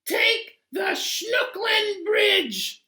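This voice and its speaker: A man voicing a goofy male passenger who mangles every word, with a squeaky, drawn-out, shouting voice. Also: animated, full character voice. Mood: goofy, insistent.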